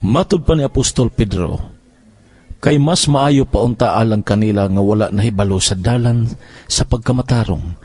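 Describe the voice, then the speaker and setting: A man's voice: on the low side, moderate pace. A man giving solemn scripted narration as the narrator of an audio drama. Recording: loud music under the voice. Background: loud music.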